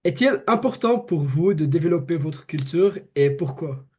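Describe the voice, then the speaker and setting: A man conducts an interview for a school project, reading each question from his script. His voice is mellow and sits somewhat high for a man. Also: animated, normal speed, slightly rough. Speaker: student interviewer.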